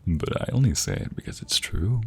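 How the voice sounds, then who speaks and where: A man playing a doting, tender husband in an intimate ASMR roleplay, speaking softly and often slipping into breathy whispers. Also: low-pitched, wildly swooping pitch, fairly quick, full character voice. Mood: playful, flustered. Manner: soft, breathy, lingering delivery dropping into whispers. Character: doting, tender husband comforting his sweetheart.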